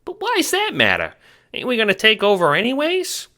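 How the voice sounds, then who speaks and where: A man voicing a tiny, naive, peppy gangster henchman with a squeaky, eager, earnest voice. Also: huge pitch swings, normal speed, sharp tone, exaggerated voice. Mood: puzzled, peppy.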